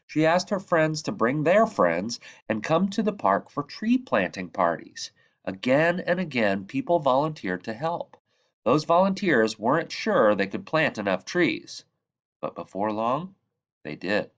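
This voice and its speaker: A man's voice: medium pitch, very animated, a little slow. Man reading a storybook, slightly dull recording. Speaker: children's book reader. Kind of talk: read-aloud storybook narration.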